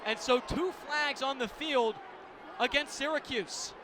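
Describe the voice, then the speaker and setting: High-pitched male lacrosse play-by-play announcer, noisy venue. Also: a little slow. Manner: vivid, clipped running description. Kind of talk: live radio sports commentary. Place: sports venue broadcast position. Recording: loud arena noise behind commentary. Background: loud game ambience.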